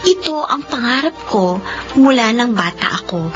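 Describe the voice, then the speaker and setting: A woman's voice: on the high side, expressive, moderate pace, natural voice. A woman playing an earnest, dutiful young student in a Filipino radio drama. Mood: wistful, earnest. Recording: muffled radio broadcast with music.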